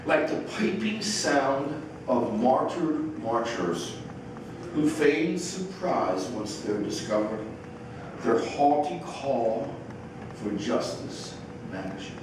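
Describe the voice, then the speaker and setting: Echoey, slow-paced man reading poetry. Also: mid-range voice. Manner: measured, deliberate phrasing. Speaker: poet. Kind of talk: poetry reading with brief spoken introduction. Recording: echoey, distant microphone, noisy room.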